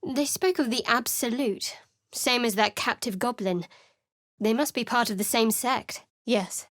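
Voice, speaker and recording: A woman's voice: fairly high pitch, unhurried, fairly bright tone, no room sound. An actress voicing a guarded, sardonic young woman cleric in a video game, speaking in a dry, reserved way. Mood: matter-of-fact. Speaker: companion character. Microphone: close studio mic.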